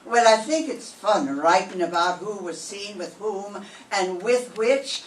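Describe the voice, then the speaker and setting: A woman's voice: medium pitch, measured pace, slight background noise, close to an everyday voice. An older woman reading the part of a chatty widowed landlady in a radio sitcom, gossipy and motherly, recorded from far off in a roomy classroom. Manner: gossipy, motherly, calls others dearie. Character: chatty widowed landlady Mrs. O'Reilly, vain about her age. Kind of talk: 1940s radio sitcom acting.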